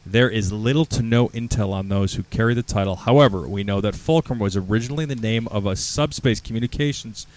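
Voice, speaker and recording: A man co-hosting a casual podcast chat, excitable and laughing, who swears freely and sometimes reads notes aloud. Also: on the low side, fairly quick, hardly any pauses. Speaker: podcast co-host. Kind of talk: casual chat among friends, reading gift notes aloud. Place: garage.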